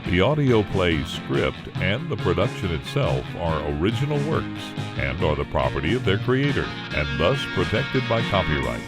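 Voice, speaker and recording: Male announcer for end credits. Very low pitch, highly varied intonation, guitar music underneath. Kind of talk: scripted closing announcement.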